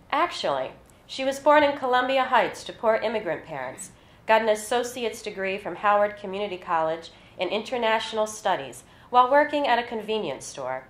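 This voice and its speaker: A woman's voice: on the high side, a bit bright. A woman reading her own literary short story aloud at a live indoor event. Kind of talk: literary reading of scripted fiction to an audience. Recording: roomy, echoey live event recording.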